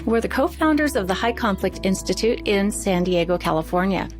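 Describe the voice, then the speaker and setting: A woman's voice: mid-range voice, lively intonation, brisk, faint music behind. A woman co-hosting a podcast, introducing and talking through the discussion in a conversational way. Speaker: podcast co-host.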